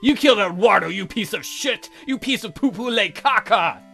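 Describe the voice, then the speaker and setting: A man voicing an angry masked vigilante from a visual novel, making crude, forceful threats. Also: high voice, animated, normal speed, creaky, pitched above the performer's own voice, heavily acted. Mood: menacing, angry. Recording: background music under voice.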